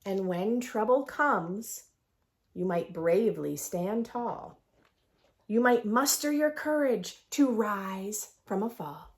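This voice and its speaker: A woman reading a picture book aloud for young children in an expressive, sing-song storytelling manner, adding her own asides. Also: medium pitch, slow. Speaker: children's read-aloud host.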